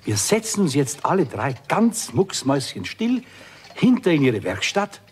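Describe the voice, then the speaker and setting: An actor playing a concerned older husband with a southern German accent, colloquial and insistent, in a radio play. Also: male voice, fairly high pitch, big pitch swings, normal speed, a little mellow, a little hiss or hum. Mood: curious, insistent.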